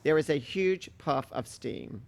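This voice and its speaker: A man's voice: fairly high pitch, animated, unhurried, gravelly. A man reading stage directions as scripted narration for a play.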